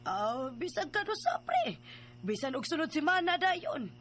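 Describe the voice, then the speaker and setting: An outspoken woman in a radio drama. Her voice is high, and her delivery is direct and matter-of-fact. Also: sing-song, unhurried, fairly bright tone, a little hiss or hum, heavily acted. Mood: agreeable.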